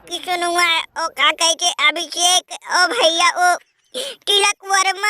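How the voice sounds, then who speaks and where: A man voicing a sobbing, beaten cricketer in a comic cartoon, with a squeaky, sped-up voice, wailing melodramatically. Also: pushed and bright, a little hiss or hum, exaggerated voice. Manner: melodramatic wailing, crying while talking.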